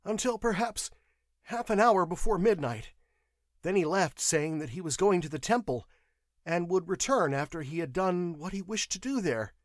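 A man voicing a cowardly, frightened clerk in an audiobook, shrill, pleading and stammering with nerves. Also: expressive, moderate pace, no room sound, studio quality. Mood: cowed, whimpering. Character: whimpering terrified clerk, a weak cowardly man.

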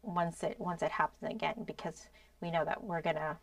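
Earnest lower-voiced woman, slightly off mic. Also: level tone, normal speed, creaky, dry recording, far from the mic. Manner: earnest, many "um"s, soft trailing phrases. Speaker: podcast co-host. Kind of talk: casual explanatory talk.

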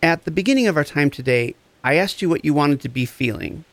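An actor playing a gentle, encouraging empath therapist, speaking in a reassuring, deliberate way in a scripted audio drama. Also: male voice, fairly high pitch, expressive, no echo. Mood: tender, encouraging. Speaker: actor in a fiction podcast.

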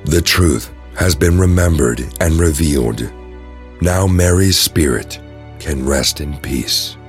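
A man's voice: very deep voice, slow, faint music behind. A man giving scripted dramatic narration for an audio drama.

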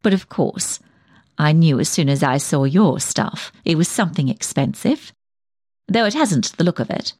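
A gossipy village dressmaker in a comic monologue. Her voice rises and dips in lively swoops, fussy, snobbish and full of sly insinuation. The sound is dry and close, with no room around it. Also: sits low, moderate pace, breathy edge, no echo. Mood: snide, insinuating. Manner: insinuating, chatty, self-important, fussy. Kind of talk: comic dramatic monologue reading.

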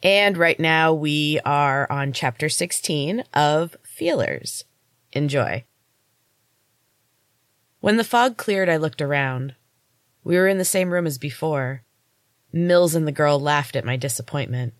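A woman's voice: low-pitched, slow pace, long pauses, no room sound, studio quality. A woman hosting a podcast and reading her own fiction aloud as a narrator. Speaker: podcast host and author reading her novel. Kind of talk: audiobook-style story narration.

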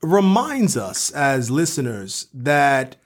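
A man's voice: medium pitch, very animated, slow pace. A man co-hosting a literary podcast, reflective and scholarly but colloquial in his phrasing. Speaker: podcast co-host and professor.

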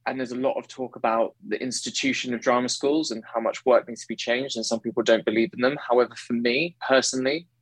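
Earnest baritone man, dry close sound. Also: fairly even intonation, quick pace, few pauses, husky, dry recording, poor audio. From England. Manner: earnest, self-correcting, effusive. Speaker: guest, actor and singer. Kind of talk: conversational interview.

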